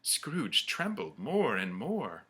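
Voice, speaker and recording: A man's voice: medium pitch, wildly swooping pitch, slow pace, bright, forceful tone. A man narrating a classic story from the page as a community reader.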